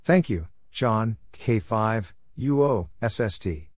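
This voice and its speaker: Man, lowish pitch, very slow, clipped phrases, spelled-out letters, drill readout, faint noise with clicks. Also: expressive. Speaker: Morse code practice narrator. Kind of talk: spoken drill readout of radio exchanges.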